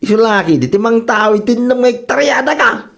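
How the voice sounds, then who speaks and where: Woman acting excitable comedy spouse, swooping animated voice. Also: fairly high pitch, normal speed, gravelly. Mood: overjoyed, excited. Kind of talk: Ilocano comedy radio drama acting.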